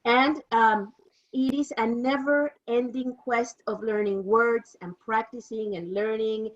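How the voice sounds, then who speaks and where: Female presenter, 50, Mexican accent, native Spanish speaker, fairly high voice, informal training talk, dull lo-fi sound. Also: fairly even intonation, slow. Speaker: interpreter giving a training presentation.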